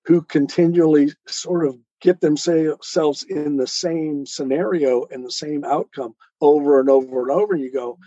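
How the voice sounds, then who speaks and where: A man's voice: fairly high pitch, moderate pace, hardly any pauses, dark tone, dry recording, muffled recording. A man talking as a guest author in a conversational interview, earnestly explaining and posing rhetorical questions. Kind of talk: conversational interview talk on life choices.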